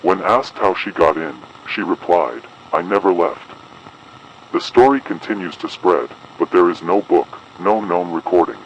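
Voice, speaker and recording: A man playing an official announcer delivering a measured, formal, ominous emergency advisory in an analog horror broadcast. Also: low voice, expressive, lo-fi sound, slight background noise. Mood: grave, ominous. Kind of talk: scripted analog horror public broadcast narration.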